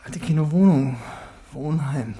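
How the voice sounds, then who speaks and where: An actor playing a volatile, desperate ex-convict in a radio crime drama, speaking in halting, clipped fragments. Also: male voice, a little high, mellow. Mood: subdued, evasive. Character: volatile, desperate male ex-convict cornered by police. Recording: studio radio play with music.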